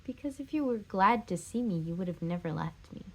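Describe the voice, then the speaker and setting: Casting note: jilted woman in a stage drama, heartbroken, insistent, pleading, medium pitch played by an actress. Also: expressive, brisk, breathy edge, rough recording. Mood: hurt, insistent. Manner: emphatic, repetitive, pleading. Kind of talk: stage drama scene and monologue.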